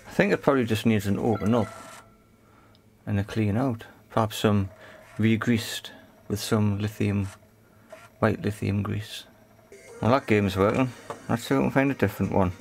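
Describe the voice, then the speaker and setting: Low-pitched, gravelly man thinking aloud. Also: normal speed, slight background noise. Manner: unscripted, thinking aloud in short phrases. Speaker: host of an electronics repair video. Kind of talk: casual hands-on repair commentary.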